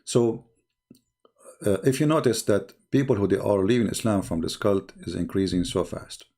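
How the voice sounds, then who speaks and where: Male live-stream host: deep, gravelly, voice worn out, slow and halting delivery. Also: dark tone. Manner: halting, reads viewer messages aloud slowly. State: tired, strained voice. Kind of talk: casual live-stream talk.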